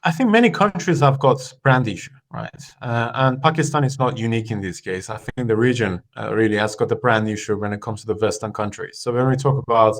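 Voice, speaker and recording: Guy born in iran on a podcast, mid-pitch voice, lots of uh and restarts as he thinks. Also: a little fast, few pauses. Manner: thoughtful, with frequent fillers and restarts. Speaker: podcast guest. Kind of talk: conversational interview.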